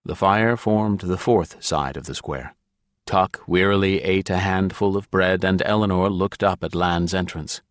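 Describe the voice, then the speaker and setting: A man's voice: low voice, a little slow, dry recording. A man narrating a fantasy audiobook in a scripted storytelling style.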